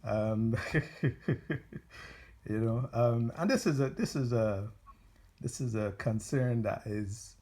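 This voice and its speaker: A man from Barbados, interviewing informally in halting, deliberate phrases. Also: mid-range voice, mellow.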